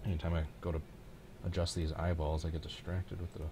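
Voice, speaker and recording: A man with a very low voice and a Midwestern American accent hosts a casual live stream. He chats and reacts to a race in an offhand way, with noticeable noise behind him. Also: level tone, fast talker.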